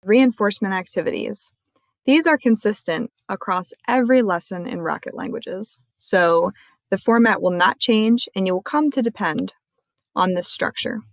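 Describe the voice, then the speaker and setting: A woman librarian walking viewers through a screen-recorded tutorial in a clear, friendly explaining manner. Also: medium pitch, moderate pace, no room sound.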